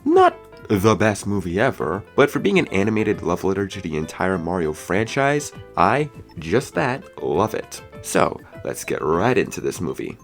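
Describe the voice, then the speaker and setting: A man's voice: deep voice, sing-song, normal speed, faint music behind. A man giving a scripted movie review commentary in a casual, opinionated, conversational way. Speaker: video reviewer.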